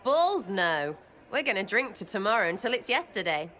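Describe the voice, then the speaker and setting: A woman's voice: medium pitch, very animated, moderate pace, a bit bright, some chatter behind. A cheeky young rogue woman from a fantasy game. She is blunt and irreverent, talks in playful slang, and is fiercely loyal. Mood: warm, cheeky. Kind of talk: video game dialogue acting.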